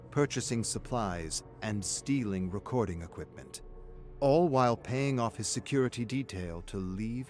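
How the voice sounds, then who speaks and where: A man narrating a scripted video game story as a suave, sinister storyteller in measured, theatrical phrases. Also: lowish voice, close to an everyday voice. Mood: brooding, sinister. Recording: music behind the narration. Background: music.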